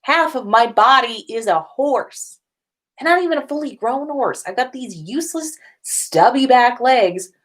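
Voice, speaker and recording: An exasperated teenage wizard boy as a woman reader plays him, pitched up high. He complains with heavy emphasis, mortified and fed up, in a comic storybook reading. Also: lively intonation, measured pace. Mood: frustrated.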